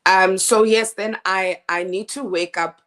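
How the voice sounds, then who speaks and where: A woman with a deep speaking voice, an opera singer telling her story in a lively, laughing, stop-start way. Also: unhurried. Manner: animated, laughing storyteller with frequent restarts. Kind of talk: casual interview chat.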